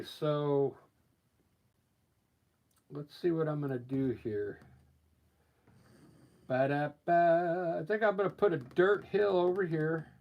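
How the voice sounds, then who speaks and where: A man with a scratchy voice from allergies, painting live and explaining his steps in a relaxed, thinking-aloud way. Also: a little high, muffled recording. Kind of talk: casual live painting demonstration.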